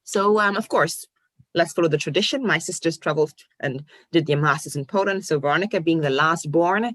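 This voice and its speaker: A woman from Ghana in her forties, an online course coach telling her story in an animated, casual way with restarts and fillers. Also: sits low, brisk, no echo. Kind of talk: casual interview chat.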